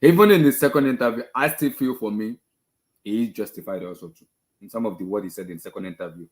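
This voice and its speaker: A man's voice: lowish voice, fast. A man hosting a casual football discussion, giving opinions emphatically and repeating his phrases for effect. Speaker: host of a football discussion show. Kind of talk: casual opinionated sports chat.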